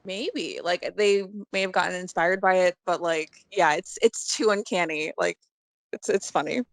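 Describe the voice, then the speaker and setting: A woman guest chatting casually on a podcast about cartoons and memes, enthusiastic and a bit halting. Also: on the low side, dry recording.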